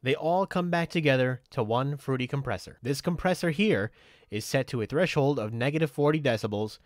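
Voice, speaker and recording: A man's voice: medium pitch, a little fast, dry recording. Man narrating a tutorial, dry close sound. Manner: methodical, instructional. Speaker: tutorial presenter. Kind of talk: step-by-step software tutorial narration.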